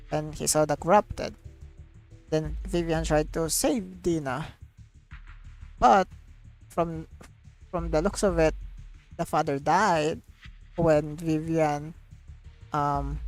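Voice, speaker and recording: Low-voiced, slow-talking woman streamer. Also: a little mellow, rough recording. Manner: halting, searching for words, repetitive. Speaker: streamer reacting to a game story. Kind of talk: casual live game commentary.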